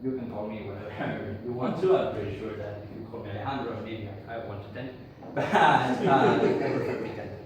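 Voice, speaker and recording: A man's voice: mid-range voice, a crowd in the background. Argentine man lecturing in an echoey hall. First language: Spanish. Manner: halting, many fillers and restarts. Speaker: conference speaker. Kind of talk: tech talk to an audience. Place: conference room. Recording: echoey hall, speaker far from mic.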